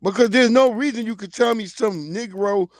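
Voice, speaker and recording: A man's voice: high voice, normal speed, dry recording. Forty-something man ranting bluntly, dry sound. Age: 40s. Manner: animated, blunt, slangy ranting. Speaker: livestream host. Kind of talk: casual commentary livestream. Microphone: streaming mic.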